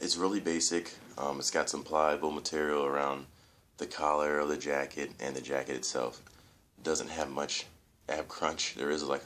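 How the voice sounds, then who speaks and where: A man's voice: very deep voice, fairly bright tone, a little hiss or hum. A young man with a stuffy, congested voice, rambling casually to viewers as he reviews a toy at home. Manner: rambling, loose, repeats fillers and addresses viewers as guys. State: congested, nasal from allergies. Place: room at home, indoors.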